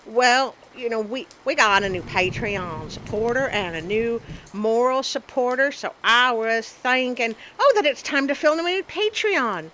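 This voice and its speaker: A woman's voice: a little high, animated, unhurried, a bit bright, slight background noise, low-quality recording. A woman voicing a timid, good-natured male puppet sidekick with a hesitant, folksy, nervous way of talking.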